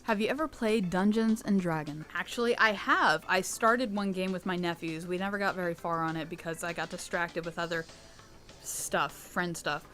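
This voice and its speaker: A young American woman who hosts her channel, answering fan questions in a candid, chatty way with a low, rich voice. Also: moderate pace, a bit bright, soft background music. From United States. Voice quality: low rich voice, sometimes taken for a boy. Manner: chatty and candid, with laughs and restarts. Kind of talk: casual Q&A monologue.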